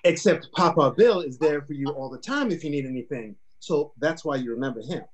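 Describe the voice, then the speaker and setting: Blunt husband, animated delivery. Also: male voice, on the high side, fairly quick, lo-fi sound. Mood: matter-of-fact. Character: blunt, caring husband and father. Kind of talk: screenplay table read over video call.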